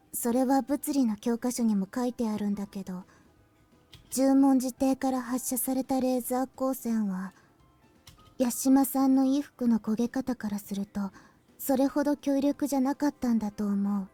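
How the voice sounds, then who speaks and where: A woman's voice: high-pitched, quick pace, faint music behind. Clever teen girl inventor. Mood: explaining. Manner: calm and explanatory. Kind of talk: Japanese game voice acting.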